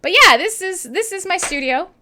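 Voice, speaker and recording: A woman with a Canadian accent hosting a casual, irreverent live radio show, talking in an excitable, playful, rambling way with plenty of swearing. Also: very high voice, normal speed, slight background noise. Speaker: radio host and metal DJ. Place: her own home-style studio.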